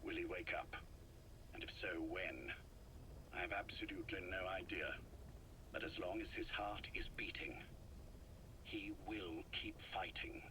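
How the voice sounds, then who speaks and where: An actor playing an ailing older male spymaster in a video game, speaking in a measured, formal, persuasive way. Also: sits low, noisy background. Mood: grave, calm. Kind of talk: video game voice acting, recorded-message drama.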